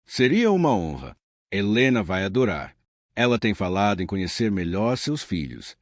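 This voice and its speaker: A man reading the lines of a wealthy businessman, now humbled and reflective, speaking in a measured way. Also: lowish voice, sing-song, no room sound, clean studio sound.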